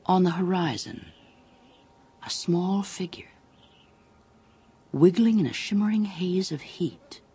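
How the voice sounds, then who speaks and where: A man's voice: sits high, slow pace, pauses often, dark tone, breathy edge. A man giving a suspenseful, theatrical narration of a scripted story.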